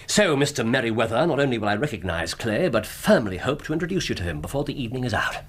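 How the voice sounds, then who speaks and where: An English man acting in a radio drama as a brilliant, self-assured gentleman detective, with a precise, theatrical, commanding manner. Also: medium pitch, big pitch swings, fast talker, natural voice. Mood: brisk, assured. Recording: background ambience noise behind the voice.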